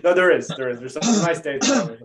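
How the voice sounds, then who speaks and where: A man's voice: on the high side, big pitch swings, quick pace, recorded from a distance. A young man co-hosting a podcast, rambling with laughs and fillers in casual banter. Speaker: podcast co-host.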